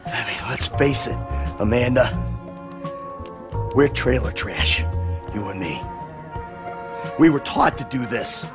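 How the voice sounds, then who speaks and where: A man's voice: mid-range voice, lively intonation, slow pace, long pauses, music in the background. A man playing an angry, middle-aged criminal husband in a game, American-accented, profane, bitter and sarcastic.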